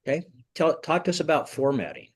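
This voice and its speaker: A man moderating an online group discussion as a coach, talking conversationally and often repeating words in a halting way. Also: fairly high pitch, big pitch swings, slightly rough. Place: online video call.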